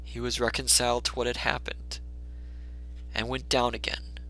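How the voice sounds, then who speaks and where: A man narrating a classic story as a dramatic reader, measured and expressive. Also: mid-range voice, rough recording.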